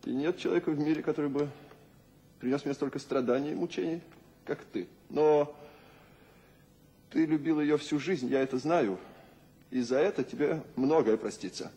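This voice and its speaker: Husky, high-voiced tormented poet. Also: male voice, moderate pace, pauses often, warm tone. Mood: tender, reproachful. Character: ailing, tormented poet, tired and restless. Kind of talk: Soviet radio drama acting.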